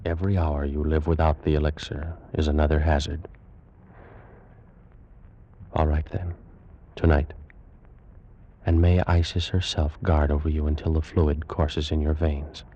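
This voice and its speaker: Deep-voiced, ardent ancient Egyptian priest. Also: male voice, little pitch movement, a little slow, pauses often, dark tone, slight background noise. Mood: ardent, urging. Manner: solemn, formal, theatrical declamation. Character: ardent ancient Egyptian priest, anguished lover telling his past. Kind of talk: old-time radio drama acting. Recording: muffled vintage radio recording with music.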